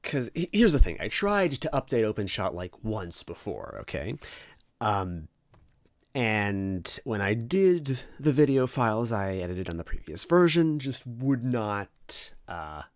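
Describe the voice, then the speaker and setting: Lowish voiced guy doing a casual host ramble, stop-start and unsure, pretty dry sound. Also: sing-song, no echo. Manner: hesitant, halting, self-interrupting. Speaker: host of a fan fiction reading series. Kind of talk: casual rambling preamble to listeners.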